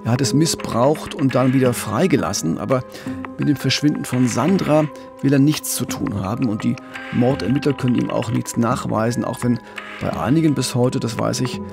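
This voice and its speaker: Gravelly German man telling true crime. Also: mid-range voice, normal speed, over music. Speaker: podcast co-host. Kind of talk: conversational true-crime storytelling.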